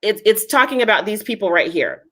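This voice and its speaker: A woman's voice: mid-range voice, very animated, fairly quick, fairly bright tone. A woman speaking as a genealogy foundation head in a casual interview, earnest and reassuring as she gives advice.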